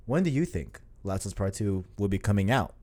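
A man in his early twenties chats casually on a gaming podcast. His pitch swings up and down as he rambles, full of filler phrases and restarts. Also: animated, fairly quick, dark tone. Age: 20s. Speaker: podcast co-host.

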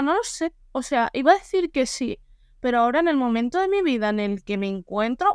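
A woman's voice: a little high, smooth, dry recording, studio quality. A young woman with a southern Spanish accent from Ceuta, a podcast guest talking frankly and casually, full of fillers. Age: young adult. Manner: frank, talkative, lots of fillers.

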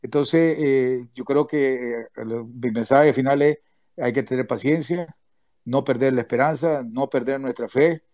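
A man speaks over a remote audio call. His delivery is halting, stopping and restarting phrases as he gathers his thoughts. The sound is dry and close, with an ordinary mid-range voice. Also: mid-range voice, darkish tone, dry recording. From Nicaragua. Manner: halting, full of restarts and hesitations. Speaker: activist speaker, former political prisoner. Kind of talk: closing remarks in an online audio chat room.

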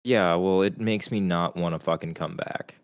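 A young man with a very deep, low voice, chatting casually as a podcast co-host. He talks bluntly and swears all the time, sounding rowdy and unfiltered. The sound is dry and close, with no room around it. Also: normal speed, no echo. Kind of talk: casual chat.

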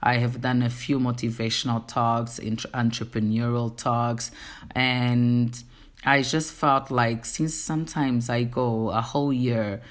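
A woman's voice: extremely low pitch, fairly even intonation, a little slow. Earnest woman with a Portuguese accent. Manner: earnest, speaks straight to listeners. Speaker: podcast host. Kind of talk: casual faith-centered monologue.

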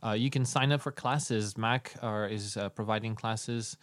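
A man hosting a podcast in a jokey, loose, halting way, chatting with a guest. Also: on the low side, steady tone, no room sound. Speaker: podcast host. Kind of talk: casual interview chat.

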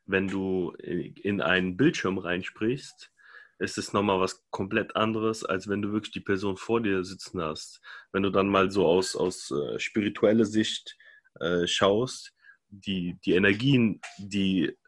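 A man's voice: low voice, measured pace. A man speaking in a loose, rambling way with many fillers during a casual discussion.

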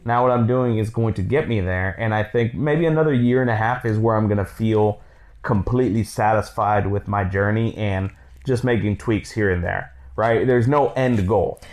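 Thirties guy, kinda low voice, rambly relaxed podcast chat with his wife, lots of uhs. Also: moderate pace. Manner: laid-back, rambling with many fillers and restarts. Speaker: podcast co-host.

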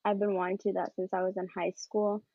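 A woman's voice: mid-range voice, level tone, brisk, no echo. Nineteen-year-old woman, dull dry sound. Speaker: podcast guest, college student. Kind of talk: casual podcast chat.

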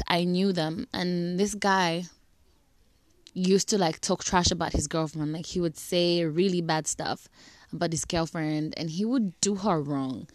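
A woman's voice: on the low side, no room sound. A young woman with a slightly cracked voice from a flu and dry cough, chatting casually and giving advice with lots of "like"s. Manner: chatty, filler-heavy, with many "like"s. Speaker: vlogger. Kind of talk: casual advice talk to camera.

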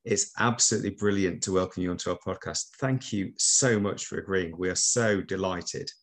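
Deep gravelly man. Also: normal speed. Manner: encouraging, gently teasing. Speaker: podcast co-host. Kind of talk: casual podcast chat.

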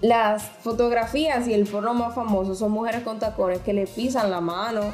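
A woman's voice: a little high, steady tone, normal speed, a little mellow, soft background music. Frank woman explaining in an echoey room. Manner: explanatory, frank, many restarts. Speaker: podcast co-host. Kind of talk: casual chat.

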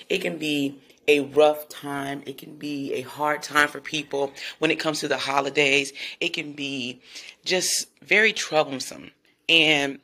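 A woman with a low voice who hosts a devotional podcast and leads worship at her church, talking casually and warmly to her listeners. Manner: chatty and encouraging, often addresses listeners as "y'all".

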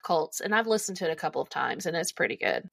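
A woman's voice: fairly low pitch, lively intonation, fast talker, vocal fry, no room sound. A woman co-hosting a podcast, chatting casually and conversationally with her co-host. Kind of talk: casual banter between co-hosts.

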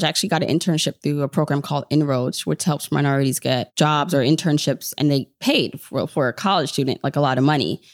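A woman's voice: deep voice, dry recording. Chatty New woman, close dry sound. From born in Jamaica, grew up in New York. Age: 30s. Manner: chatty, informal storytelling with quoted self-talk. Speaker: podcast guest, personal finance podcaster. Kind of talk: casual conversational interview.

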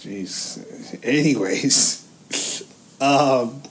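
A man's voice: medium pitch, very slow, a little hiss or hum. Goofy, rambling man co-host from Haiti. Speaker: podcast co-host. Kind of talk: casual chat.

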